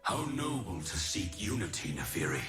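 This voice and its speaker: An actor playing a noble, solemn winged immortal warrior in a video game, grave, formal and deliberate. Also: male voice, low voice, sing-song, music in the background. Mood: stern warning. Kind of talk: scripted dramatic game voice lines.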